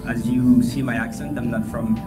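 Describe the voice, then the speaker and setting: A man's voice: mid-range voice, flat delivery, normal speed. A middle-aged man with a French accent gives an informal, joking talk on a conference stage, telling personal stories. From France. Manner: conversational, joking. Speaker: conference speaker, entrepreneur and endurance athlete. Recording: music bed over stage talk. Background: clear, loud music.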